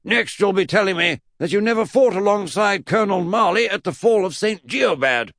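A man's voice: high-pitched, lively intonation, moderate pace, fairly bright tone, no room sound, studio quality, pitched above the performer's own voice. A man voicing an eccentric, blustering old great-uncle in a comic children's audiobook, pompous and emphatic. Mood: stern, indignant. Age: older.

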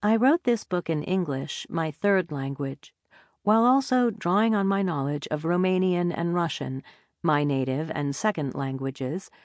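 A woman narrating a nonfiction audiobook in a measured, deliberate reading style on a close studio mic. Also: lowish voice, darkish tone, no room sound.

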